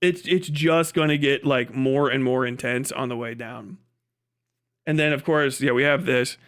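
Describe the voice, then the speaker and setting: A man's voice: medium pitch, normal speed, a bit bright. A man giving casual livestream commentary, swearing often and stressing his points with emphatic repetition. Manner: profane, emphatic, opinionated, repeats words for stress. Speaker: livestream host.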